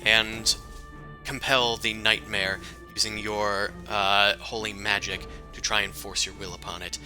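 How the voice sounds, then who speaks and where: A man narrating as game master in a tabletop game, chatty and relaxed with many fillers. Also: on the low side, measured pace, over music.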